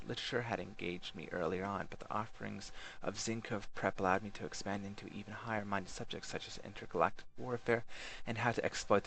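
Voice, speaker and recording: Male reader as a self-important alien scholar for a game memoir, florid and bookish, deep with a creaky edge. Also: a bit bright, poor audio. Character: pompous male alien intellectual recalling his youth. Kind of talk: first-person memoir narration.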